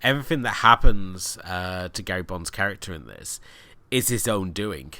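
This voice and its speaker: A man co-hosting a podcast, giving relaxed, enthusiastic film recommendations. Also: sits low, normal speed, no echo. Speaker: podcast co-host. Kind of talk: casual film discussion.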